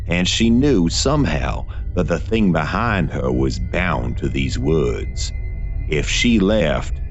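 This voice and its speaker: A man reading scripted gothic horror fiction as narrator, in a dramatic, deliberate storytelling manner with weighted pauses. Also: sits low.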